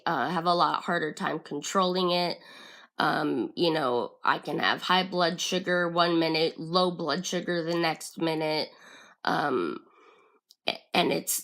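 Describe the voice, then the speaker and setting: Low, slow raspy young woman. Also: fairly bright tone. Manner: halting, with frequent ums and restarts. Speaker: vlogger. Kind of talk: casual personal vlog talk.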